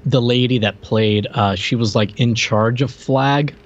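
A man's voice: mid-range voice, measured pace. A man co-hosting a podcast, chatting casually about a film with joking, wry remarks. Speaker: podcast co-host. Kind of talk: casual movie review banter. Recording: muffled audio with noticeable background noise.

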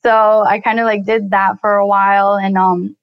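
A woman's voice: medium pitch, level tone, normal speed, clear, resonant tone, no room sound, lo-fi sound. Chatty young woman from Colombia. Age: 20s. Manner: chatty, frequent "like" and "you know" fillers. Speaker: podcast guest, real estate investor. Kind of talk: casual interview storytelling.

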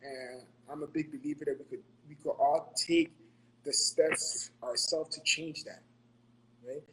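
Earnest higher-voiced man from Ghana. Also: steady tone, unhurried, a bit bright, slight background noise, poor audio. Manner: earnest, repeats himself, ends points with "right?". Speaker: podcast co-host giving financial advice. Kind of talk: motivational casual talk.

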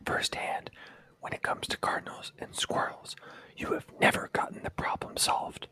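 A man whispering teasing, wry comments in casual chat. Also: normal speed.

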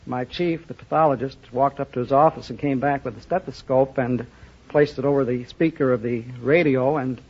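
A man, a middle-aged quiz contestant, giving earnest, halting answers in an unscripted interview. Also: mid-range voice, fairly even intonation, breathy edge. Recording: muffled old radio recording.

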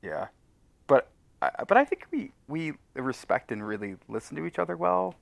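A man's voice: a little high, sing-song, moderate pace, creaky, dry recording. A man speaking casually as an interview guest, thinking aloud and correcting himself as he goes. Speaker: podcast guest, audio drama co-creator.

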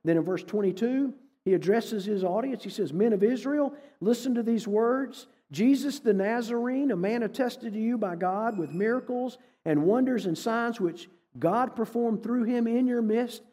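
A man's voice: extremely high pitch, normal speed, hardly any pauses, warm tone. A middle-aged man, telling a story as a guest speaker in a conversational sermon style. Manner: folksy, conversational storytelling with quoted dialogue. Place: church hall. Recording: echoey hall.

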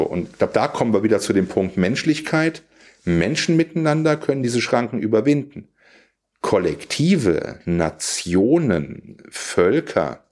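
A man's voice: on the low side, a little mellow. A man speaking in a reflective discussion, explaining things in an articulate, emphatic way.

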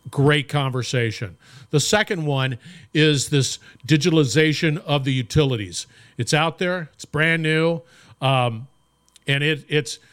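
Host: middle-aged man, energetic and emphatic, average pitch. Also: clean tone. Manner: upbeat and emphatic, repeats words. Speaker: podcast host. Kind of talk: podcast intro and interview.

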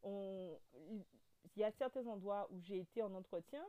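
A woman in her thirties with a Guadeloupean French accent, a guest telling her story in a casual interview, with lots of fillers, restarts and animated turns. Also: mid-range voice, moderate pace, smooth. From French overseas territory. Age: 30s.

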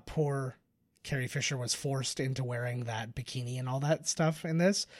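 A man speaks as a podcast co-host in casual commentary, with a mid-range voice and a close, dry sound. His delivery is halting and informal, often doubling back to correct himself. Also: medium pitch, no room sound. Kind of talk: casual film commentary chat.

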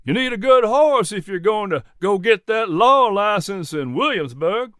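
A man's voice: high voice, animated, unhurried, fairly bright tone, clean tone, dry recording, clean studio sound, voice pushed up. A man playing a genial, teasing older horse owner with a folksy, emphatic way of talking in an audiobook story. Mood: warm, insistent. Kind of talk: audiobook character dialogue.

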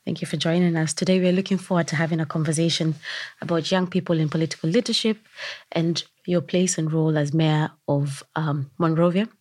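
Low-voiced woman hosting a podcast. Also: steady tone, a little fast, a little mellow, no echo. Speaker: podcast host. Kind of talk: prepared introduction and interview questions.

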